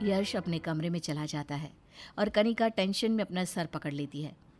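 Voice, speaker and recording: A woman's voice: fairly low pitch, moderate pace, over music. A woman narrating a scripted cartoon family story as storyteller.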